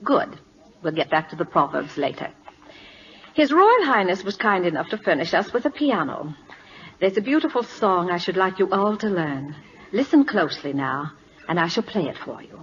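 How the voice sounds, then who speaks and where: Spirited, lilting prim schoolteacher. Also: female voice, on the low side, sing-song, normal speed, a little hiss or hum. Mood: instructive. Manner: precise, formal, proper diction. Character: prim, determined, spirited woman schoolteacher in Siam. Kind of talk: 1940s radio drama acting. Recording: dull old radio recording, music behind.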